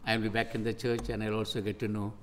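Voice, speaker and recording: A man's voice: on the low side, level tone, quick pace, fairly bright tone, slight background noise. A man in his sixties from Sri Lanka, a retired professor, introducing himself with hesitant, self-deprecating humor. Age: 60s.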